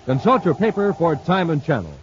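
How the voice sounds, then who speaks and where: A male radio announcer reading ads and promos in a booming, salesy, emphatic 1950s style. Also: sing-song, brisk. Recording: muffled old radio transcription with faint hiss.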